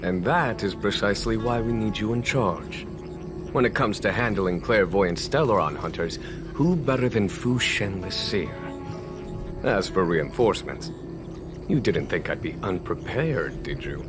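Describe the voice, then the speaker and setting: An actor playing a shrewd, composed general in a video game, speaking in a measured, courtly, self-assured way. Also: male voice, fairly low pitch, animated, over music. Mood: smooth, confident. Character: composed, shrewd, genial male army general. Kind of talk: dramatic video game voice acting.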